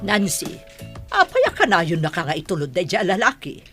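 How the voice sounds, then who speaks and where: A woman's voice: fairly low pitch, huge pitch swings, normal speed, fairly bright tone, husky, faint music behind, exaggerated voice. Woman as a nagging mother, swinging intonation. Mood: scolding. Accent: Ilocano. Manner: scolding, admonishing. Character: stern, nagging middle-aged village mother. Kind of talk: Ilocano radio comedy drama acting.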